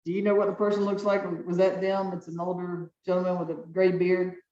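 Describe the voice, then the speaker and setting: A deep-voiced woman judge runs her calendar firmly and briskly, repeating instructions to each party. Her voice sounds distant and roomy, with dull, muffled video-call audio. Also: level tone, warm tone. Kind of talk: presiding over virtual court hearings.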